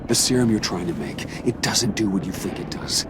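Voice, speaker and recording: An actor plays a steady, earnest American man in a video game drama. His low voice is persuasive and varied in pitch. Loud outdoor rumble sits behind him. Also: lightly acted. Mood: concerned. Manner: earnest, measured pleading. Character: earnest, steady adult male hero, persuasive and principled.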